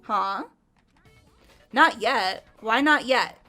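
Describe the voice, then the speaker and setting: A woman voicing an energetic, pushy young woman in a fan voice-acted game. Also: a little high, drawn-out words, soft background music, exaggerated voice. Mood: eager.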